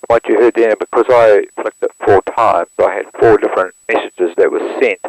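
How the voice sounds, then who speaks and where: Low gravelly New man explaining. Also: normal speed, dry recording, rough recording. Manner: steady, plain explanations with step-by-step counting. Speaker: instructor demonstrating an aircraft radio. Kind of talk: hands-on equipment walkthrough. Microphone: aircraft intercom headset.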